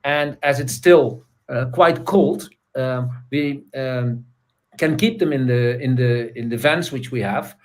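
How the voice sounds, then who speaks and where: A man with a Dutch accent, a guest in casual chat, talking haltingly with many fillers and restarts. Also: medium pitch. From Netherlands.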